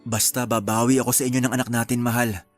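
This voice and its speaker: A man's voice: medium pitch, little pitch movement, fast, soft background music, close to an everyday voice. An actor playing a devoted young husband and father in a radio drama, reassuring and eager. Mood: loving.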